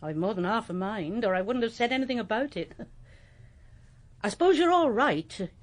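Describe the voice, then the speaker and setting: A woman's voice: fairly high pitch, expressive, moderate pace, natural voice. An actress playing a kindly, plain-spoken old country landlady in a radio drama, with an English accent and a homely, good-humoured, matter-of-fact manner. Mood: frank, kindly. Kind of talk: naturalistic radio drama acting.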